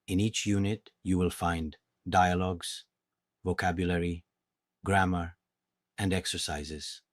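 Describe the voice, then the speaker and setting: A man with an Italian accent teaching a beginner language lesson in a calm, measured, patient way, speaking slowly and clearly. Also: deep voice, no echo, studio quality. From Italy.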